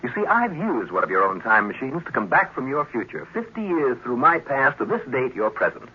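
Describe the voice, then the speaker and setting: An actor playing a self-assured, talkative young time traveller who is fussily polite and insistent, in 1950s radio drama style. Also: male voice, medium pitch, very animated, fairly quick, slightly rough. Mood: insistent, confident. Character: brisk, chatty, self-assured young male time traveller, meddling grandson. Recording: muffled, noisy 1950s radio recording.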